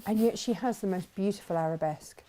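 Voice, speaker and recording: A woman's voice: medium pitch, fast talker, warm tone. Chatty woman, laughing often. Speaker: co-host. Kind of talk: casual chat on a crafting show.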